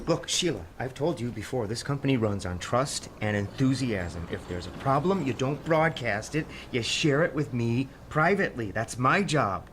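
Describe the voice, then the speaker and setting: An actor playing a controlling, evasive middle-aged American company boss in an audio drama, with an insistent managerial manner. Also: male voice, mid-range voice, animated, moderate pace, few pauses, noisy background, lightly acted. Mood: stern, defensive.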